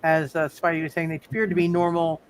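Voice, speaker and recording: A man running a live tabletop roleplay as game master, explaining casually in a halting way with repeated phrases. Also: on the high side, little pitch movement, slight background noise.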